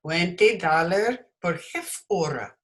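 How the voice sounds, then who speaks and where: A woman playing a foreign piano teacher and fortune teller in old-time radio comedy, deliberate and blunt, with non-native phrasing. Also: lowish voice, expressive. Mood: matter-of-fact. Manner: foreign-English syntax, deliberate speech.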